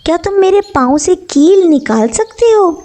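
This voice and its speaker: A sensible, healthy storybook horse played by a woman. His voice is pitched high, and he speaks politely and with calm self-assurance. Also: moderate pace. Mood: feigning trouble. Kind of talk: children's storybook character voice.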